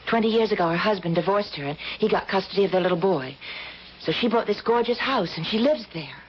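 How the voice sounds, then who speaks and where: An actress playing a kind, earnest, trusting young working woman in a 1970s radio drama, gentle and eager in manner. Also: mid-range voice, fast talker, close to an everyday voice. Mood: intrigued. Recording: muffled old radio broadcast with hiss.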